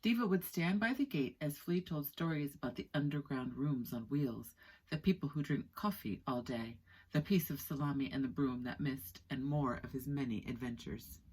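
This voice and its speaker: A woman in her thirties reading a children's book aloud expressively, with deliberate storytelling pauses. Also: a bass voice, a little mellow, breathy edge, low-quality recording. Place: small indoor room with skylight.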